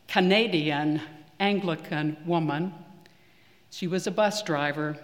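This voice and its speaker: A man preaching a sermon in a church, measured and earnest with deliberate pauses. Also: a little high, a bit bright. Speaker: priest. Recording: long reverb of a church hall.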